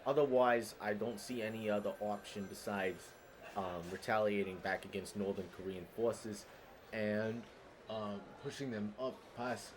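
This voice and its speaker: Casting: man as 1950s on-the-scene newsman, lowish pitch, explanatory and unsure, faint noise behind him. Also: fairly even intonation, unhurried, close to an everyday voice. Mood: earnest, hesitant. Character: hesitant 1950s male field news correspondent. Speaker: field reporter. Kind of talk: mock 1950 on-the-scene news report. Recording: noticeable background noise.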